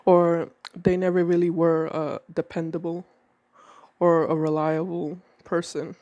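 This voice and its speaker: A woman with a cold reads tarot cards at a table indoors, her tone mellow and dark. She talks calmly and measuredly, slowing down as she names each card. The sound is dry and close, with little room in it. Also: lowish voice, no echo, muffled recording. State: has a cold. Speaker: tarot reader. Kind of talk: tarot reading monologue.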